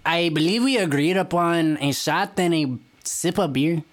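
A man's voice: fairly high pitch, normal speed, close to an everyday voice. A man doing an exaggerated Mexican accent as a laid-back dude, tagging his phrases with "man" in comic podcast chat. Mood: laid-back, boastful. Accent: exaggerated mock Mexican. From Arizona, United States. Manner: repeatedly tags phrases with 'man'. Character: laid-back caricature Mexican dude dropping Spanish words. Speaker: podcast co-host.

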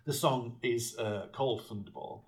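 A man's voice: medium pitch, normal speed. A man co-hosting a podcast, giving blunt opinions on a film with lots of hesitant ums. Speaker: podcast co-host. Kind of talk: casual film-review chat.

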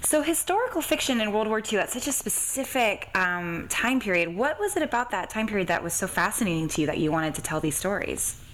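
A woman's voice: mid-range voice, big pitch swings, fairly quick, hardly any pauses, a bit bright, a little hiss or hum, rough recording. A woman interviewing a guest in a casual, informal podcast style with hesitant phrasing.

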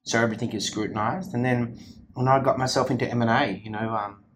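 A man's voice: medium pitch, fairly even intonation, fast talker. An Australian man, a company founder, chatting energetically in an interview, rambling with lots of restarts and fillers. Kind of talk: casual interview chat. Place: office.

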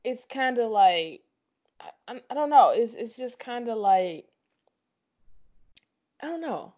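A woman's voice: fairly high pitch, animated, takes it slowly, darkish tone, dull audio. A woman co-host in casual sports chat, opinionated and repeating herself for emphasis.

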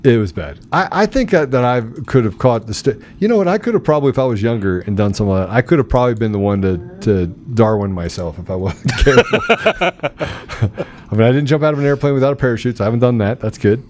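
A middle-aged man telling personal stories in a casual, colloquial talk-show chat, animated in his delivery. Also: medium pitch, fast, slight background noise. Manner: animated, colloquial storytelling with sound effects.

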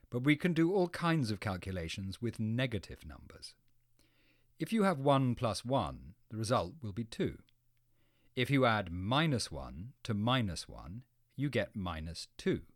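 Low-pitched, lively male educational narrator. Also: no room sound, clean studio sound.

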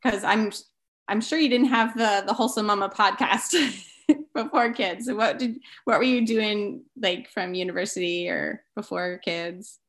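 A woman's voice: mid-range voice, a little slow, fairly bright tone. A woman hosting her own podcast, upbeat and enthusiastic as she interviews a guest. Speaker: podcast host and declutter coach.

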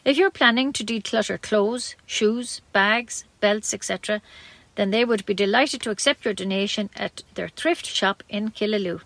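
A woman's voice: mid-range voice, normal speed, creaky, a bit bright, dry recording. A woman with an Irish accent reading scripted local news as a community radio newsreader.

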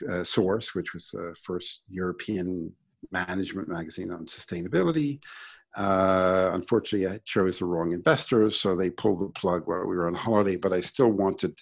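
American man, middle-aged or older, deep gravelly voice, reflective, conversational interview answers, halting delivery. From Brooklyn, New York, United States. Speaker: interview guest, sustainability entrepreneur.

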